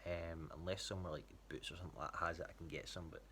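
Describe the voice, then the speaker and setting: Scottish male, low voice, informal and halting check-in style, noisy recording. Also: fairly even intonation, fairly bright tone. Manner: hesitant, rambling. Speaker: athlete giving a training check-in to a coach. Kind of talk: casual spoken update.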